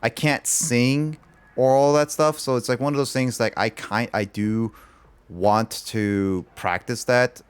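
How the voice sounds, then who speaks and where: A man's voice: medium pitch, steady tone, a little slow, clean tone, no echo. A man chatting casually on a livestream, rambling and filling his talk with "like". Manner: rambling, loaded with "like" fillers.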